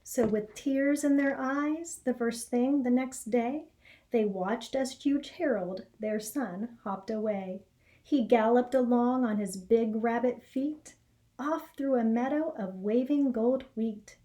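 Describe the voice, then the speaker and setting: An American woman reads a children's picture book aloud. Her voice sits fairly high and she takes her time, telling the story expressively. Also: takes it slowly, warm tone. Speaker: elementary school educator reading aloud. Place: small indoor classroom or office.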